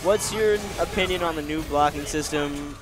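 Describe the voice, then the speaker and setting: A male stream commentator with a nasal voice that he pushes a little lower than usual. He reads out viewer questions and chats through the answers in a loose, casual way. Music plays clearly beneath him. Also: normal speed, poor audio.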